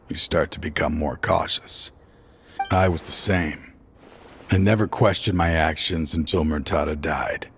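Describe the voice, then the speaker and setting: A man's voice: low voice, slightly rough, exaggerated voice. An actor playing a bitter, regretful middle-aged former lawman turned video game villain, with weary, brooding delivery. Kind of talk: dramatic video game voice acting.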